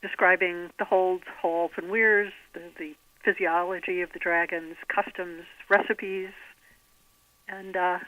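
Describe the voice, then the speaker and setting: A woman's voice: lowish voice, little pitch movement, unhurried, dry recording. A middle-aged woman, an author giving articulate, bookish, discursive answers in an interview. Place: remote call into a podcast. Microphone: telephone. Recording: narrow tinny phone line.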